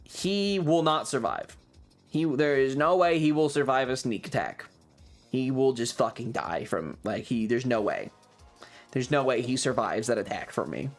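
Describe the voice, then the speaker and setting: A man's voice: mid-range voice, lively intonation, moderate pace, soft background music. A man chatting casually to stream viewers, telling stories emphatically with heavy swearing and repeated phrases. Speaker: livestreamer. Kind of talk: casual chat, animated storytelling to stream viewers.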